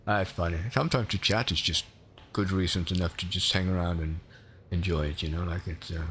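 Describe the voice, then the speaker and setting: Swedish-accented male streamer, low voice, chatty and rambling, faint hum behind. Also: fairly even intonation, brisk, a bit bright. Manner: chatty, rambling, self-correcting. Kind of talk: casual gameplay commentary.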